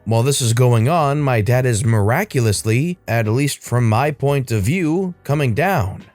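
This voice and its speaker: A man with a deep voice narrating online stories aloud and addressing his audience. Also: measured pace, dry recording, soft background music. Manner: steady storytelling, addresses the audience. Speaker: story channel narrator.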